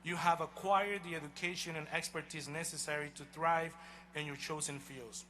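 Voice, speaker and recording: A man with a Honduran Spanish accent speaks to a stadium of graduates with measured, emphatic delivery. His voice sits a little high and rings very bright. He is a college president giving a commencement address outdoors. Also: fairly even intonation, slight background noise. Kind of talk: commencement speech.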